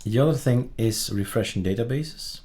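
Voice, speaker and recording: A man presenting a casual screen-recorded software tutorial, haltingly thinking aloud as he goes. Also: mid-range voice, a little hiss or hum.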